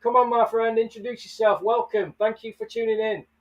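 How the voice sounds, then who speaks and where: A man's voice: high-pitched, steady tone, fairly quick, far from the mic, lo-fi sound. A man hosting a casual livestream, chatting and greeting viewers by name. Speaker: livestream host. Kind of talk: casual live chat.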